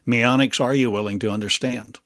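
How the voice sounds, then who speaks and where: A man delivering a channeled prophetic monologue in halting, fragmented, oracular phrases full of coined words. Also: medium pitch, fairly even intonation, a bit bright, dry recording, clean studio sound.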